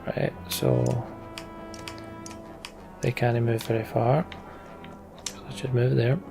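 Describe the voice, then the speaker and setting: A man's voice: mid-range voice, steady tone, slow pace, gravelly. A British man giving casual, wry game commentary as a let's play host, muttering to himself as he goes. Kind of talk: casual game commentary, reading on-screen story aloud. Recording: game music behind the voice. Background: video game music.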